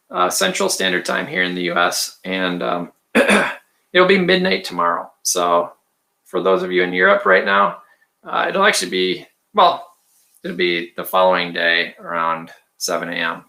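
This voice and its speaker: A man with a raspy voice, hosting a casual livestream, chatty and rambling with frequent ums and throat clearing. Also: a little high, pushed and bright. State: raspy from allergies or stress. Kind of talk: casual livestream chat reading viewer comments.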